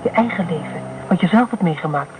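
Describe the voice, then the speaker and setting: An actress playing a fearful, earnest woman recalling childhood terror in a radio play, speaking quietly and intensely. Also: fairly low pitch, animated, fairly quick, background noise, natural voice. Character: fearful woman recalling being buried in sand.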